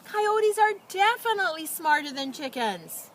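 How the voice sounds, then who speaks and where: A woman voicing an excitable, breathless farmer's wife from a storybook, gasping and urgent with concern. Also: very high voice, unhurried, a little hiss or hum, voice pushed up, heavily acted. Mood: breathless warning.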